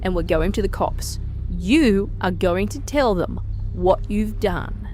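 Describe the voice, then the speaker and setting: A man voicing a furious, blunt teenage girl, forceful and accusing, in an audio horror drama. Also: high voice, lively intonation, takes it slowly, soft background music, pitched above the performer's own voice, exaggerated voice, low-quality recording.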